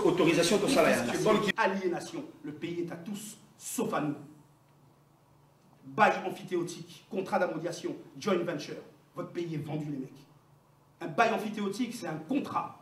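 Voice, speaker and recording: Echoey, high-pitched Congolese man making political speeches. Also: a bit bright. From Democratic Republic of the Congo. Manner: emphatic, rhetorical, didactic. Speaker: political speaker, activist. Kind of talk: impassioned political speech to a gathering. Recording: distant, echoey room with background noise.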